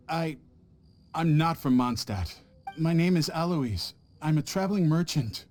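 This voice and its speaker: A man's voice: a little high, a little mellow. A man voicing a nervous traveling merchant who stammers and fumbles his words, overacting his panic. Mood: flustered. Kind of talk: video game voice acting.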